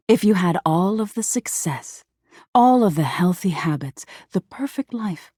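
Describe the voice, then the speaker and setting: A woman voicing a living nightmare in a video game, an ominous yet tender figure who speaks slowly in a hushed, breathy, husky voice, like an intimate storyteller. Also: lowish voice, no room sound, clean studio sound. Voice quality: husky, breathy, often near a whisper. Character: ominous, tender female living nightmare, a dreamy existential dread. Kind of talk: scripted dramatic game narration.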